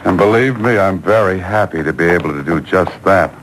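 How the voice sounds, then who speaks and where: An actor playing a cool, tough adventurer hero with a dry, wry manner in a 1940s-style radio drama. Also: male voice, sits low, fairly quick, lightly acted. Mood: wry, relieved. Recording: home-taped old radio broadcast, faint noise.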